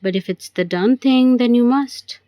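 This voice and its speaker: A woman's voice: fairly high pitch, measured pace, no echo, muffled recording, natural voice. A woman reading the part of a playful, cajoling young man in an amateur audio play. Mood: wry.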